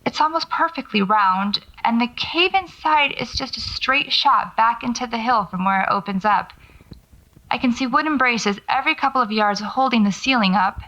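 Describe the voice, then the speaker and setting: A woman's voice: on the high side, normal speed, bright, forceful tone, rough recording. A woman acting as a wry, stubborn paranormal investigator in an audio drama, talking to herself as she records field notes. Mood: wary, focused. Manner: muttering to herself, sardonic, self-coaching.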